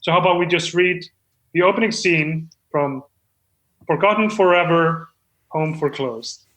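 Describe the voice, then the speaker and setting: A man's voice: fairly high pitch, fairly bright tone, echoey room, close to an everyday voice, rough recording. A man playing an earnest, self-important amateur screenwriter, hesitant and rambling, in improvised comedy chat. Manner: hesitant, rambling, full of pauses.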